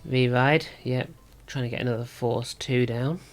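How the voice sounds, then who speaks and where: Male gaming video host, medium pitch, sore and slightly rough voice, casual play-by-play, reasoning through moves aloud. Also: steady tone, moderate pace. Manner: thinking aloud. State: sore throat. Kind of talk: casual card game commentary.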